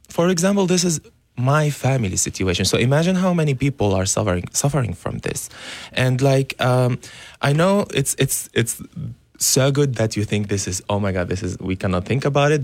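A man's voice: mid-range voice, expressive, fairly quick, no room sound. Earnest young man, dry. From Syria. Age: young adult. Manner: earnest, pleading, many fillers. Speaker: guest, dance teacher. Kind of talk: radio interview. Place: radio studio.

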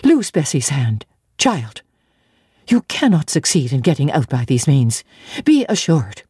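A stern middle-aged aunt gives cold, clipped orders , as a woman narrator voices her. Her voice is low, dark and husky, and it sounds dry and close. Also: big pitch swings, measured pace, dry recording, exaggerated voice. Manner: peremptory, cold and commanding.

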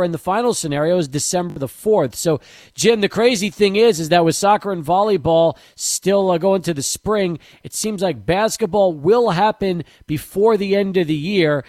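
A man with a slightly high voice hosts a sports talk radio show. He talks in a conversational way as he passes on news and questions from listeners. His voice is close and dry in the studio. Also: darkish tone, no room sound.

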